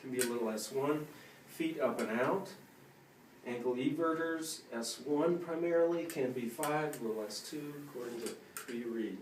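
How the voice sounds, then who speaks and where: Male clinician, middle pitch, matter-of-fact exam instruction, recorded in a roomy space with the mic set back. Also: normal speed. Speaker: clinician demonstrating a neurological exam. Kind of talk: instructing a patient while explaining to viewers. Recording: roomy, distant mic with faint noise.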